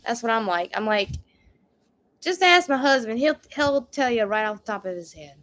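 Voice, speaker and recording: A woman in her thirties with a notably high voice chats in an easygoing, homey way. She reads out viewer questions and talks things over with her husband. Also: lively intonation, moderate pace, fairly bright tone. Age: 30s. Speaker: co-host of a family homestead channel. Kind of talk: casual live Q&A chat.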